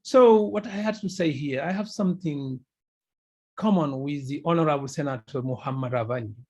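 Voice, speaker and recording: A man from Senegal giving a webinar talk over a video call, with halting, emphatic phrasing. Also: fairly high pitch, warm tone, lo-fi sound. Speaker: researcher presenting. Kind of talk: webinar presentation.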